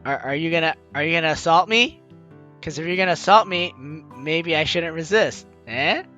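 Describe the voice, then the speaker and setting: A man's voice: on the high side, expressive, normal speed, sharp tone, lo-fi sound, faint music behind. A man hosting a let's play, reading game text aloud and adding jokey, theatrical, exclamatory commentary. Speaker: gaming video host.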